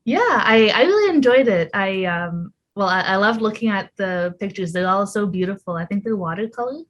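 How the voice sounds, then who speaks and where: A woman's voice: mid-range voice, animated, moderate pace, highs cut off. A young woman, a PhD student being interviewed over a video call, answering in a friendly, thoughtful way with many fillers. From Ontario, Canada. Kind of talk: casual interview.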